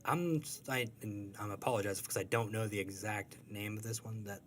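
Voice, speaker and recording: A man's voice: on the low side, fairly quick, slight background noise. A man from the United States chatting casually as a podcast guest, with informal, brief answers and hesitant starts. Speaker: podcast guest, comic strip artist. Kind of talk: casual interview chat.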